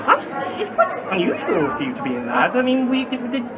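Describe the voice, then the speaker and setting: An eager British man interviews in an informal, hesitant way, stammering as he goes. His pitch swings around in a very animated fashion. A crowd chatters clearly behind him. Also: a little fast, lo-fi sound. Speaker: fan interviewer. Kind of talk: informal convention interview chat. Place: busy convention hall. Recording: busy crowd chatter in background.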